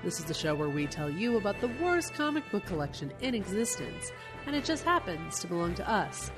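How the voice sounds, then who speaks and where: A woman co-hosting a comic book podcast in casual chat, a little croaky from a cold, chatty and laughing easily. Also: lowish voice, sing-song, brisk, music in the background. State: getting over a cold, slightly croaky. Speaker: podcast co-host.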